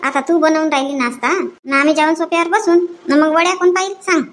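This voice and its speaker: A woman voicing a chatty, fussing village woman in an animated family comedy. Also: high voice, fast, a little hiss or hum, close to an everyday voice. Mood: chiding.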